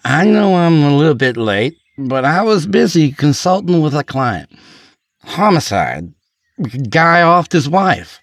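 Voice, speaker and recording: A man's voice: fairly high pitch, sing-song, a little slow, gravelly, dry recording, studio quality, pitched above the performer's own voice, heavily acted. A man playing a bitter, volatile, drunken lawyer in an audiobook story, sarcastic and prone to anger. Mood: drunken swagger.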